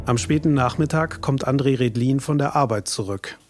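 A man's voice: medium pitch, moderate pace, darkish tone, soft background music. A man reading scripted true-crime documentary narration in the present tense.

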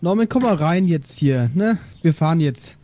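A man's voice: on the high side, animated, normal speed, mellow, dry recording, close to an everyday voice. A man playing a flustered, embarrassed father who owns a spaceship, hesitant and stammering, in an improvised audio roleplay. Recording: music under the voice.

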